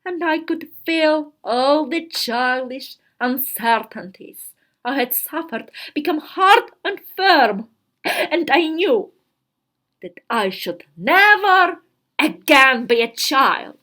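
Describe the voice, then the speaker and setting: A woman voicing a haughty, melodramatic fourteen-year-old girl from a story diary, grandiose and theatrical with drawn-out emphasis. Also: on the high side, sharp tone, exaggerated voice.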